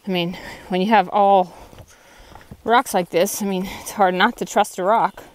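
A woman's voice: mid-range voice, fairly even intonation, a little slow. A woman hiker talking casually and candidly to a handheld phone camera while walking a forest trail outdoors. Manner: chatty, candid, a little tired. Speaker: thru-hiker vlogger. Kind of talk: casual walking vlog narration.

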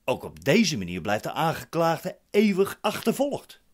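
A man's voice: fairly high pitch, huge pitch swings, unhurried, full character voice. Acteur als kortaffe, argwanende man, levendige intonatie. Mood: wary, reserved. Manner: questioning, curt, formal. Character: wary, businesslike accused male bank employee. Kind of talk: radio play acting.

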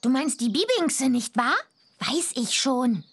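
Cocky, high-voiced teen witch girl. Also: lively intonation, slow, gravelly, fairly bright tone, husky, exaggerated voice. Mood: excited, breezy. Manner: boastful, teasing, slangy. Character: cocky, teasing punk teenage witch girl. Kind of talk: animated children's game voice acting.

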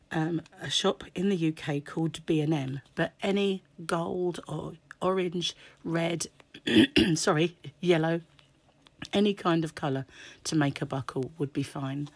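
A woman with a croaky, hoarse voice chatting through a crochet tutorial at a table, full of fillers and throat clearing. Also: low voice, a little mellow, no room sound. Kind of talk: casual tutorial explanation. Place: home table, indoors. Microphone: camera over hands, speaker off-screen.

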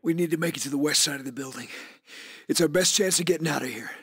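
A man's voice: fairly high pitch, fast, fairly bright tone, husky. A man voicing a gritty, middle-aged special forces squad leader in a video game, giving terse, clipped military commands. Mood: calm, businesslike. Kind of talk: video game combat voice lines.

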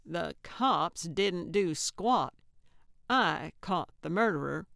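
A woman's voice: lowish voice, expressive, takes it slowly, gravelly, fairly bright tone, no room sound, studio quality, full character voice. A woman voicing a feisty, sentimental older woman with a blunt, plainspoken manner in an audiobook. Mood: indignant. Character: feisty, sentimental older woman who loves her cat. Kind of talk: audiobook character voice.

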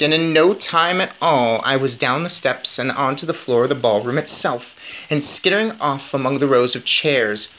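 Bright-voiced man reading a story aloud. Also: a little high, rough recording. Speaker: story reader. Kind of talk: expressive read-aloud of a children's novel.

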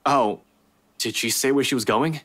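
An actor playing an earnest, worried young police officer in a visual novel game. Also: male voice, medium pitch, moderate pace, a bit bright, lightly acted. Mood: polite.

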